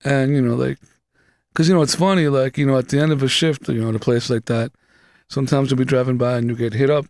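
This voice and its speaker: Gravelly New man, casual podcast talk. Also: mid-range voice, steady tone, fast, darkish tone, dry recording, clean studio sound. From New York City. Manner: loose, profane, full of fillers and asides. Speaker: podcast co-host. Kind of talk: casual chat. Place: small studio room, indoors. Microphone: studio mic close up.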